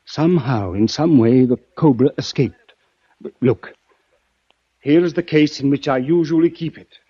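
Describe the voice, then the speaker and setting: An actor playing a desperate, earnest doctor and snake expert in an old-time radio drama, polite, halting and pleading. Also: male voice, mid-range voice, dark tone, husky, dry recording. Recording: dull, dry vintage radio recording.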